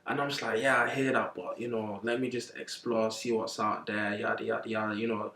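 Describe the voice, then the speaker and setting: Young man, relaxed, lots of fillers, distant mic, echoey room. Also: fairly low pitch, a little fast. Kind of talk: casual chat.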